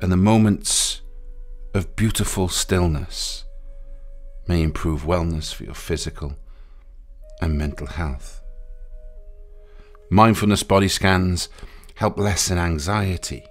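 A man guiding a meditation in a soothing, unhurried way, pausing between short phrases. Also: low voice. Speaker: meditation guide. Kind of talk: guided meditation. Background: music.

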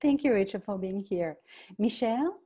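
A woman's voice: mid-range voice, lively intonation, normal speed, mellow, slightly rough, muffled recording. A woman hosting a podcast over video call, giving short gracious prompts between speakers. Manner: gracious, brief moderator prompts. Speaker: podcast host.

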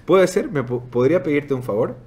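A man, hosting a podcast interview in a casual, teasing way and laughing easily, speaking into a desk mic in a dark studio. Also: fairly high pitch, lively intonation, a little fast. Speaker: podcast host. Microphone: desk mic on boom arm.

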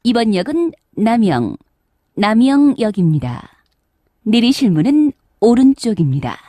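A woman's voice: fairly high pitch, sing-song, unhurried, mellow, no echo, studio quality. A woman reading scripted subway announcements in a formal, polite, carefully enunciated way. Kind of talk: scripted public transit announcement.

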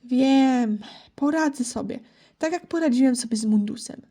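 A woman's voice: high voice, moderate pace, dark tone. A woman voicing a small young male wolf in an audio drama, guarded and snide, with a curt, dismissive delivery. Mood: cool, confident. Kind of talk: Polish amateur audio drama acting.

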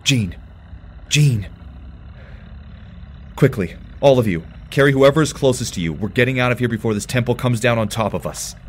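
A man's voice: mid-range voice, normal speed, pauses often, a little hiss or hum, natural voice. A man playing an earnest, anxious young superhero team leader in an audio drama, with an urgent, dramatic delivery.

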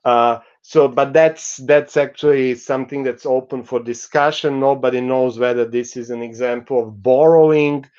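A middle-aged man giving a university lecture over a video call, explaining slowly with many fillers. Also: mid-range voice, steady tone, darkish tone, clear, resonant tone, lo-fi sound. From Croatia. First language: Croatian. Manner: slow, explanatory, with frequent fillers. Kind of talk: recorded online lecture. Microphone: video call webcam.

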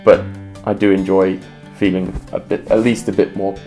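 A man's voice: sits low, little pitch movement, moderate pace, dark tone, soft background music. A man in his twenties from England with an English accent, talking casually and a little hesitantly in an interview. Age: 20s. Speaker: aspiring restaurateur and home cook.

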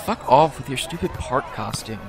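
A man's voice: medium pitch, expressive, moderate pace, gravelly, a crowd in the background. A man acting a surly but gullible young rebel in an audio drama. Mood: scornful.

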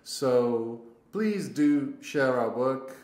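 Slow, halting male podcast host, echoey room. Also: medium pitch, expressive, darkish tone. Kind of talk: casual podcast chat. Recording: roomy, echoing sound.

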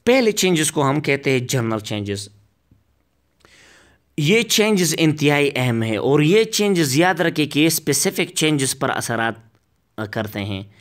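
Man, lecture, slow halting delivery, fillers, slightly high pitch. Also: very animated. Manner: reads English lines very slowly. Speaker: accent teacher. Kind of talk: slide lecture.

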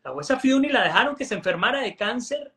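Emphatic high-voiced man. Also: very animated, quick pace, pushed and bright. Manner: emphatic, repeats words when restarting. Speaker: political analyst guest. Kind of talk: animated political commentary. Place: home room indoors. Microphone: video call webcam.

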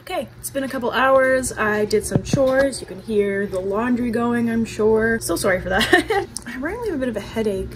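A woman's voice: a little high, lively intonation, moderate pace, noisy background. A young woman vlogging casually at home, chatty and candid, laughing often and filling her talk with "like". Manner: chatty, giggly and candid, with frequent laughs and filler "like". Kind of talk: casual vlog chat. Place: home, with laundry running.

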